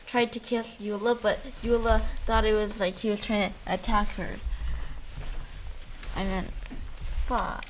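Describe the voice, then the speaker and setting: Female podcast co-host, mid-pitched, informal gaming chat, halting phrasing, repeated words, casual swearing. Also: little pitch movement, background noise.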